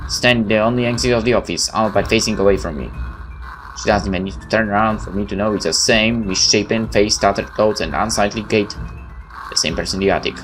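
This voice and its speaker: A man's voice: fairly low pitch, a bit bright, low-quality recording. A man hosting a gaming video, reading visual novel text aloud with stumbles and exasperated, sweary asides.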